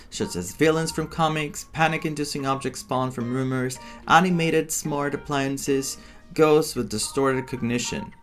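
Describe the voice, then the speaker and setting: A man's voice: medium pitch, a bit bright. Man doing game commentary over music. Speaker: gameplay streamer reading and reacting. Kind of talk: casual playthrough commentary with reading aloud. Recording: music playing behind the voice. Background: game music with guitar.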